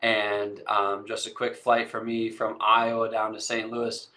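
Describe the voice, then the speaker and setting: Webinar host, low and monotone but bright-toned, enthusiastic. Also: male voice, unhurried, low-quality recording. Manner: enthusiastic, repetitive. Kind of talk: guest introduction.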